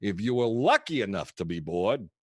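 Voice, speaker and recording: A man's voice: lowish voice, very animated, moderate pace, darkish tone, husky, dry recording, studio quality. An actor playing a kooky, affectionate old grandfather who rambles and mutters theatrically in a comic play. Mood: storytelling, wry. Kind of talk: comic stage monologue and dialogue.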